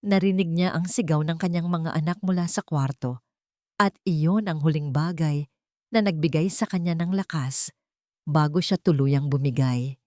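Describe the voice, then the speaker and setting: A woman's voice: deep voice, normal speed, a little mellow, no room sound, clean studio sound. A woman with a Filipino accent narrating a dramatic scripted story.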